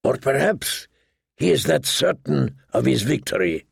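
A man's voice: medium pitch, very animated, a little slow, vocal fry, husky, no echo, studio quality, full character voice. A man voicing a boastful, lewd, wheedling pirate captain in an audiobook, with a theatrical, jovial manner and questioning tags. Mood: sly, joking. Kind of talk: audiobook character voice.

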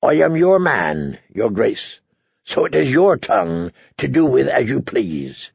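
A blunt, earnest knight of humble birth, played by a male narrator speaks in a fantasy audiobook. His voice is husky and creaky, his words slow and emphatic. The sound is dry. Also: mid-range voice, sing-song, a little mellow, dry recording, muffled recording, heavily acted. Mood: submissive. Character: blunt, earnest lowborn knight pleading boldly.

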